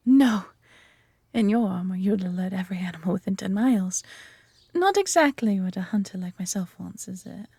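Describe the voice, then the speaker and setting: A woman's voice: medium pitch, expressive, moderate pace, creaky, dark tone, slightly rough, no echo. A woman with an English accent playing a friendly, adventurous elf archer in a roleplay audio drama, talking conversationally to the listener. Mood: friendly, chatty.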